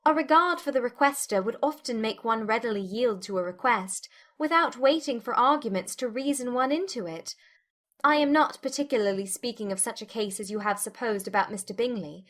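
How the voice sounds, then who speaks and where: Woman playing a witty, high-voiced young gentlewoman. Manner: formal, articulate, pointed. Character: witty, self-possessed, challenging young gentlewoman. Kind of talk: dramatised classic novel audiobook.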